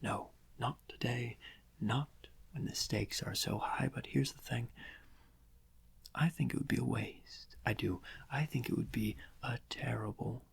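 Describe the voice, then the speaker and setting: A man playing a vain, theatrical criminal mastermind who gloats and draws out his phrases in a soft-spoken ASMR roleplay, with a breathy, gravelly voice. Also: mid-range voice, full character voice. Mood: smug, savouring. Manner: slow, drawn-out, melodramatic, savouring each phrase with long pauses.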